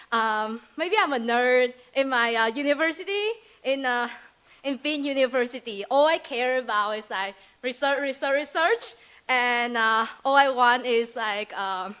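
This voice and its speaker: A woman's voice: sits high, a bit bright, clean tone. A young woman from Vietnam with a Vietnamese accent, giving a chatty, hesitant student presentation full of fillers and giggles. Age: young adult. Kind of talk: presentation speech.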